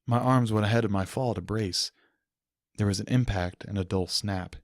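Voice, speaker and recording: A man's voice: on the low side, moderate pace, no room sound, clean studio sound. A man narrating a first-person story as a dramatic audiobook reading.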